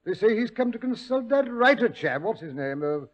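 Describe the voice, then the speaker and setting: Hesitant, blustery doctor sidekick with a high voice, old radio drama. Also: male voice, sing-song, normal speed. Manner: hesitant, full of uhs, blustery. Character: bumbling, good-natured male doctor sidekick. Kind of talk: 1940s radio acting. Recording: dull old radio broadcast.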